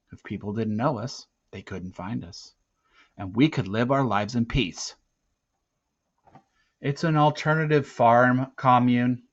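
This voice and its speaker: A man with a lowish voice reads a first-person novel aloud. His delivery is that of an expressive storyteller. The recording is a bit muffled and lacking in top end. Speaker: teacher reading a book aloud. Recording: slightly muffled, dull recording.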